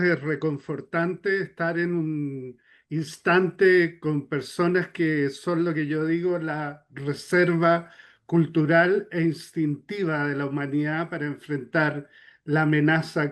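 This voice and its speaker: A man's voice: a little high, fairly even intonation, slow. A man from Chile, asking a panel a long, earnest question about climate action.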